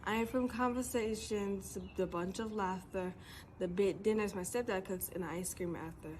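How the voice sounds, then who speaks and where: A woman's voice: medium pitch, normal speed, darkish tone. Young girl reading her poem over faint hiss. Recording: faint background noise.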